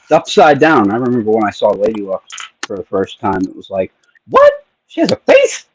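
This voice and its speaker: Husky, animated man on a stream. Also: lowish voice, brisk, poor audio. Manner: playful, animated, jokey. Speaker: livestream co-host. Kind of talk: casual chat.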